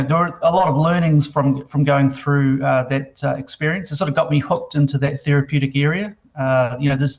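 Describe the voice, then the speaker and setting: Brief: New Zealand exec, monotone, gravelly, hesitant, muffled call audio. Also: male voice, medium pitch. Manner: halting, many fillers and restarts. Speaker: biotech company CEO. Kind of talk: interview answers.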